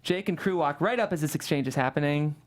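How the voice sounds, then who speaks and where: Female narrator for script table read, low pitch, delivers scene headings and action. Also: fast. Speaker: stage direction reader.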